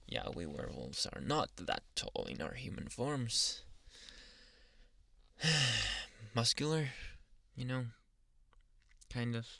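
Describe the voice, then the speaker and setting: A man voicing a dominant but awkwardly polite alpha male werewolf in an intimate, halting ASMR roleplay, with sighs and murmured asides. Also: mid-range voice, vocal fry, a bit bright, no echo, clean studio sound, full character voice. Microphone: close, dry microphone.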